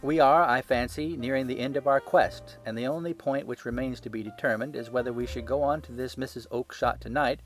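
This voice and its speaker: A man voicing a shrewd gentleman detective in a storybook, bland, courteous and precise. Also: mid-range voice, fairly even intonation, a little fast, hardly any pauses, soft background music, natural voice. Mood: amused, reflective. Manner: bland, precise, self-assured.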